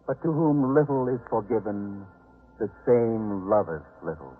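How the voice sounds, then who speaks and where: Man acting solemn holy teacher, unhurried, measured, vintage radio drama, narrow tinny sound, music behind. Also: lowish voice, natural voice. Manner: solemn, measured scriptural delivery.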